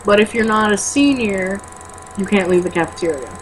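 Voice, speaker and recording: A woman's voice: mid-range voice, moderate pace, a little hiss or hum, rough recording. A young woman reading a book aloud casually, with sarcastic, exasperated, foul-mouthed commentary. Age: young adult.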